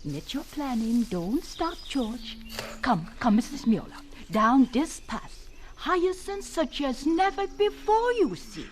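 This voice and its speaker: An actress playing a kindly German farm wife who speaks broken English with a German accent, in a radio drama. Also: female voice, sits high, big pitch swings, slow pace, a little hiss or hum, heavily acted. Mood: gentle, proud. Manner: broken English, warm and fussing. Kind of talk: 1940s radio drama acting.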